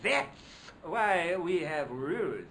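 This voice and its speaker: A high, stiff hospital official. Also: male voice, sing-song, takes it slowly, gravelly, fairly bright tone, a little hiss or hum, full character voice. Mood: officious. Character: stiff, officious male hospital administrator, rule-bound. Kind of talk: video game voice acting.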